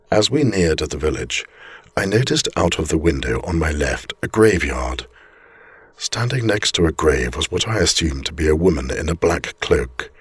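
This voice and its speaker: A man reading a scripted ghost story aloud as a storyteller, with measured, deliberate and theatrical phrasing. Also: low voice, breathy edge, no room sound.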